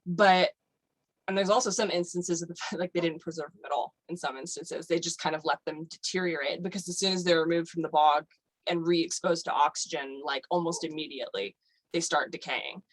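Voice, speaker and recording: A woman's voice: on the low side, fairly even intonation, brisk, no echo, rough recording. A woman guest on a podcast, explaining at length in casual chat with many fillers like "um" and "like". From United States.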